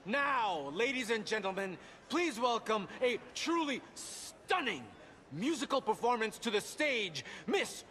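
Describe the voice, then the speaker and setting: A man's voice: extremely high pitch, sing-song, measured pace, bright, forceful tone, exaggerated voice. An actor playing a pompous, boastful old-time stage showman in a video game, announcing with grandiose, florid oratory. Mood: grand, showy. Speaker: theater master of ceremonies. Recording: noisy theater with crowd.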